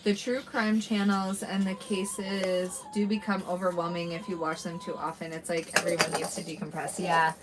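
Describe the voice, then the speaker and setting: A woman's voice: lowish voice, level tone, normal speed, hardly any pauses, heavy background noise. A woman with a nasal voice hosting a casual live chat, reacting to her guest and reading viewer comments aloud.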